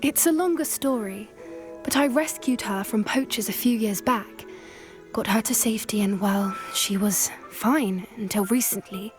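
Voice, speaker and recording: A woman playing an earnest, secretive teenage schoolgirl who loves magical beasts in a video game, with a gentle manner and a British accent. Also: mid-range voice, measured pace, lightly acted. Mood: earnest, worried. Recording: game music underneath. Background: game music.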